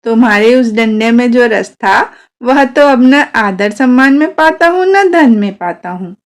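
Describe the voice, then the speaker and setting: A woman's voice: on the high side, animated, a little slow. Woman as a nostalgic, earnest male officer. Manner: earnest, familiar, insistent. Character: nostalgic male officer reminiscing with a childhood friend.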